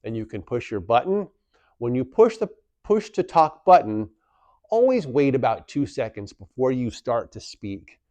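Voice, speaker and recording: A man's voice: mid-range voice, sing-song, moderate pace, dark tone, no room sound. A man presenting an instructional how-to, explaining plainly step by step and repeating key points for emphasis. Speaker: instructional video presenter for a community association.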